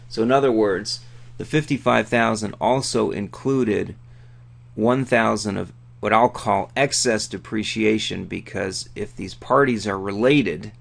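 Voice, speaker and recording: A man with a gravelly, creaky voice giving an informal tutorial as an instructor, explaining conversationally and thinking aloud. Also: lowish voice, a bit bright. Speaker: accounting instructor. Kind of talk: informal tutorial, walking through a worked problem.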